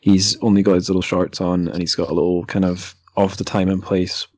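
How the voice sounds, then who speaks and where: A man's voice: deep voice, little pitch movement, fairly quick, a little mellow. A man hosting a podcast in casual chat, with an informal manner and wry interjections. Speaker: podcast host.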